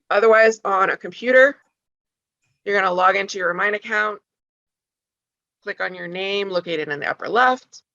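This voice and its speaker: Bright-voiced woman explaining app steps. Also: lowish voice, gravelly, dry recording. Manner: conversational, many ums and restarts. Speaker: school tech trainer for parents. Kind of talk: instructional walkthrough for parents. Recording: slightly dull lo-fi sound.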